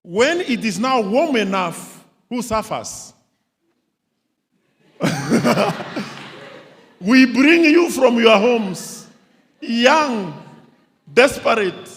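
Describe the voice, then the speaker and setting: A man runs a panel discussion at an unhurried, drawn-out pace, playful and quick to laugh. His voice sits fairly high for a man. It rings out with a long reverb across a big hall. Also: lively intonation, a bit bright, slight background noise. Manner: slow, playful, often laughing. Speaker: moderator. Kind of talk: moderating a public panel discussion. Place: large hall with audience.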